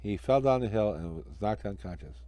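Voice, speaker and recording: A man's voice: on the low side, animated, a little fast, warm tone, dry recording. A man narrating a children's story expressively as an amateur storyteller.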